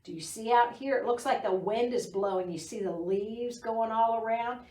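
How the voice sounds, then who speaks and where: A woman's voice: fairly high pitch, normal speed, warm tone, far from the mic. A middle-aged woman reading a picture book expressively to young children at a storytime. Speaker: library storytime reader. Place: indoor library room. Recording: roomy, voice away from microphone.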